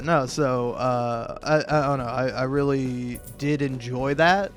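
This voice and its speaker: A man giving casual, rambling game commentary in a halting, jokey way, often repeating words and restarting, swearing freely and putting himself down. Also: medium pitch. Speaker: video game critic and YouTuber. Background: music.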